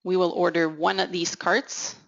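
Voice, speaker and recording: Woman, low-pitched, gravelly fry, measured software tutorial narration, dull lo-fi recording. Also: level tone. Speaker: technical consultant presenting a software demo. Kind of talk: instructional software walkthrough narration. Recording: dull, lo-fi audio. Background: voice-over for a screen recording.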